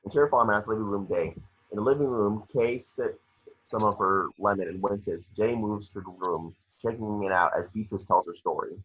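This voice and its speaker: A man's voice: fairly low pitch, moderate pace, fairly bright tone, breathy edge. A man reading scene descriptions as scripted narration for an amateur table read, on a lo-fi microphone. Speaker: action description reader. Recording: dull, lo-fi microphone sound.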